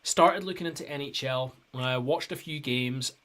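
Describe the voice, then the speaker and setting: A man's voice: mid-range voice, moderate pace, a bit bright. A man co-hosting a hockey podcast, telling a story in a rambling, casual way with lots of ums and restarts. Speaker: podcast co-host. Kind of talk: casual chat.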